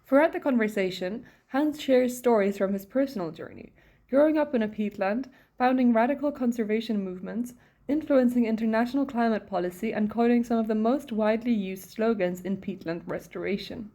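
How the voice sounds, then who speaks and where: A woman hosting a podcast, reading a scripted introduction in a smooth, even way. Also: a little high, fairly quick. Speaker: podcast host.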